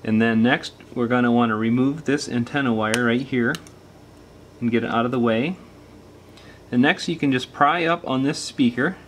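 A man presents a hands-on how-to in an informal, plain-spoken manner. His voice sits at an average pitch, and he explains things step by step as he works. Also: moderate pace, slight background noise. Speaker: repair tutorial host. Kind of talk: casual step-by-step hands-on demonstration.

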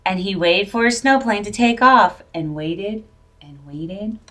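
A middle-aged woman reads a picture book aloud for young children. Her delivery is slow and expressive, with a very lively rise and fall in pitch. She is recorded indoors, a little way from the microphone. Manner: animated, drawn-out, dramatic delivery for young children. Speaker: storyteller reading a picture book. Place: plain-walled indoor room. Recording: voice picked up from a distance.

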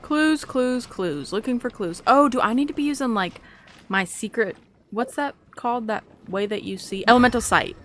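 A woman's voice: on the high side, normal speed, fairly bright tone, slight background noise. A young adult woman doing casual, playful let's play commentary, chatty and rambling as she talks to herself. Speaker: gaming YouTuber.

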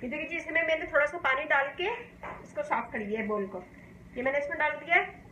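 A high-pitched woman from Pakistan walks viewers through a recipe in her home kitchen, explaining each step in a calm, homely way. The kitchen sounds echoey, and a faint hum runs underneath. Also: quick pace. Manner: calm, homely explaining, often saying "dekhiye ji". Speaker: cooking channel host. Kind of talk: step-by-step cooking demonstration.

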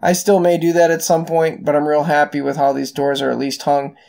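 A middle-aged man, hosting a casual do-it-yourself farm project voice-over in a relaxed, folksy way with many ums. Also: on the high side, fairly quick, vocal fry. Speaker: farm owner and channel host.

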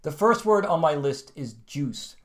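American man teaching, slow careful modeling. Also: a little high. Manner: lively, gesturing, slows right down to model words. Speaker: English teacher. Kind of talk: video lesson to camera. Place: small room at home, plain wall.

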